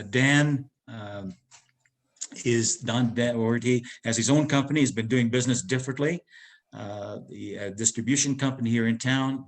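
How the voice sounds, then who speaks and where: A man moderating a forum panel, introducing each speaker in a loose, rambling way with many restarts. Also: mid-range voice.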